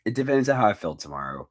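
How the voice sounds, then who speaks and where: A man co-hosting a chatty podcast, hoarse from being sick, with a deep baritone voice and a rambling, animated way of telling stories. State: hoarse from illness. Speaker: podcast co-host. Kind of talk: casual chat. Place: Miami.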